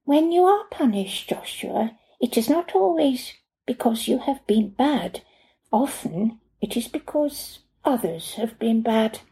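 A prim, proper housekeeper, as a woman reads her out with a higher, lifted voice and a stiff, stilted way of putting things. She talks slowly and carefully, lecturing in a soft, mellow tone, like an audiobook scene. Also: expressive, vocal fry, exaggerated voice. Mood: stern, gentle. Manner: formal, stilted, slightly nonnative phrasing.

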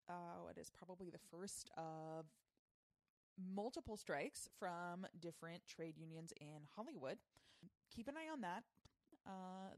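A woman's voice: medium pitch, darkish tone, no room sound. A woman hosting a podcast, making announcements in a casual, conversational way. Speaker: podcast host.

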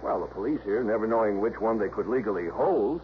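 A man playing an earnest informant who lays out a case deliberately, in a 1960s radio drama. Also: lowish voice, expressive, lightly acted. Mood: disapproving. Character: earnest, low-voiced male informant laying out a case. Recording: dull old radio recording, faint noise.

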